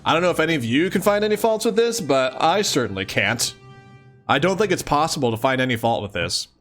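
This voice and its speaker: A man with a fairly high voice and very lively intonation reads aloud and comments as he goes. His delivery is casual and amused, given to exclamations and playful sarcasm, with some music behind him. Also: brisk. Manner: amused, exclaiming, often chuckling. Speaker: host of a let's-read series.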